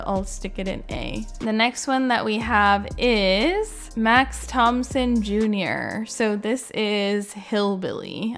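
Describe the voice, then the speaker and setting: A woman's voice: medium pitch, a bit bright. A woman hosting a gaming video, giving casual, unscripted reaction and ranking commentary in an informal, conversational way, thinking aloud.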